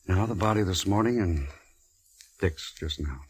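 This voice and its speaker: A very low, husky male voice as a smooth Hollywood star feeling sorry for himself. He speaks in a languid, flirtatious, brooding way, in the style of 1940s radio drama. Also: animated, unhurried, heavily acted. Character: smooth, self-pitying Hollywood actor and old flame.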